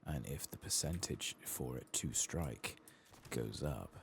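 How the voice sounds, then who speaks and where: A man giving soft-spoken ASMR commentary while gaming, murmuring and drifting into whispers. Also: a bass voice, expressive, slow pace, creaky, slight background noise. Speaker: gaming streamer, ASMR host.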